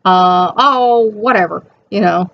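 A middle-aged woman with a high, soft voice, chatting reflectively to camera at home with rambling, conversational asides. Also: takes it slowly, gravelly. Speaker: host reading and commenting on an inspirational reflection. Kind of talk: casual reflective chat to camera with read-out passages. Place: small cluttered room at home. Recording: dull, lo-fi webcam sound.

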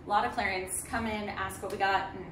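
A woman on a shop's staff chatting briskly and helpfully about the stock they carry in a parts warehouse. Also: medium pitch, little pitch movement. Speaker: shop staff. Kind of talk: casual chat. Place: large indoor parts warehouse. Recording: loud background noise.

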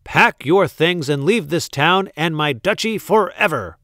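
A man's voice: on the high side, steady tone, very slow, clean tone, dry recording, clean studio sound, pitched above the performer's own voice. A man voicing an imperious, hot-tempered Duke from a storybook, with an emphatic, commanding manner. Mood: outraged, roaring. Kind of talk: storybook read-aloud character voice.

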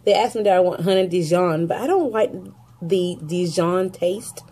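A woman's voice: fairly low pitch, moderate pace, dark tone. A woman in her forties with a stuffy head cold, chatting to the camera in a casual daily vlog, filmed at arm's length. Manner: chatty, laughs easily, talks to camera. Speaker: vlogger. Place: office, car and home, indoors. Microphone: phone or webcam at arm's length.

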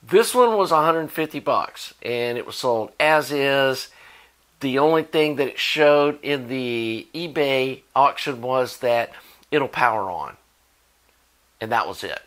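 A man's voice: mid-range voice, expressive, slow, fairly bright tone. A middle-aged man with an American accent, explaining things in a casual, conversational, informal way to camera as host of a repair channel. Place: indoor workshop at a workbench.

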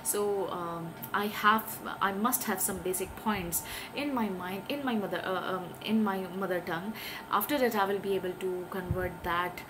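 A woman's voice: medium pitch, moderate pace. Woman, earnest, over room hiss. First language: Urdu. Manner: earnest, hesitant, many filler sounds, gestures with hands. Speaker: English teaching vlogger. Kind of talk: talking to camera, giving tips. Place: small indoor room.

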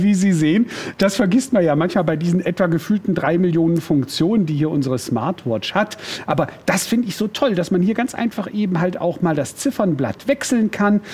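A man's voice: on the high side, a little mellow, husky. A middle-aged man presenting a product on shopping TV, enthusiastic and chatty, with playful asides. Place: TV studio.

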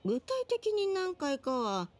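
A woman's voice: sits high, big pitch swings, takes it slowly, full character voice. A woman voicing a forgetful, cheerful older grandmother, with old-fashioned speech and a musing way of talking. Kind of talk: Japanese game dub. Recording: music under the dialogue.

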